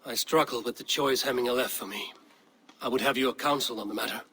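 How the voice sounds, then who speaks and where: An actor playing a steady, commanding male Viking clan leader in a game cutscene. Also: medium pitch, fairly quick. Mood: resolute. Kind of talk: video game cutscene acting.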